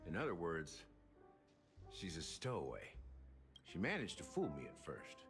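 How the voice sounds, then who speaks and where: An actor playing an aging, wry bartender detective in a video game, speaking theatrically like an unhurried storyteller. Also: male voice, sits low, wildly swooping pitch, full character voice. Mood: grave, wry. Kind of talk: scripted video game dialogue. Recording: game music behind the voice.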